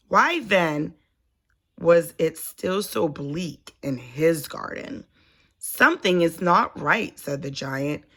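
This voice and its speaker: Bright-voiced woman reading a children's story slowly. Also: lowish voice, gravelly. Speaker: storybook reader, teacher. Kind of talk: children's story read-aloud.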